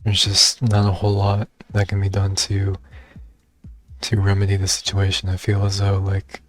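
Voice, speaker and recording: Soft-spoken, low, flat young man. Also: normal speed, soft background music. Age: young adult. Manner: soft-spoken, reserved, hesitant with many fillers. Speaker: vlogger. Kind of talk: personal monologue.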